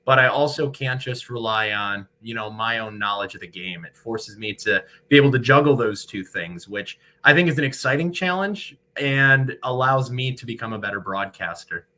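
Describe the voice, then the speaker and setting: Bright-voiced American male sportscaster. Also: medium pitch, expressive, moderate pace, hardly any pauses. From Michigan, United States. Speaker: sportscaster, radio play-by-play broadcaster, interview guest. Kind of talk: casual interview talk.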